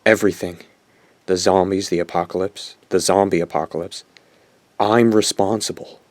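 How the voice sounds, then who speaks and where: A man's voice: deep voice, steady tone, moderate pace, dry recording, natural voice. An actor playing a smug, self-absorbed teenage boy in an audio drama, narrating his confession in a pompous, dramatic way. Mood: guilty, confessing. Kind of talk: audio drama acting, first-person narration.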